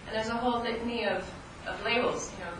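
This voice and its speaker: A woman's voice: a little high, fairly even intonation, fast. Researcher woman, distant and echoey. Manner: reflective and explanatory, with some hesitations. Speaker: academic researcher, co-presenter. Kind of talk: academic conference presentation. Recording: echoey hall, distant mic, noisy.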